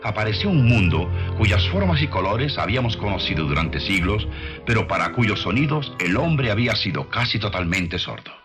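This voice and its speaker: A man reading scripted documentary narration with measured, dramatic storytelling delivery, on an old film soundtrack. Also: fairly low pitch, big pitch swings, a bit bright, faint music behind.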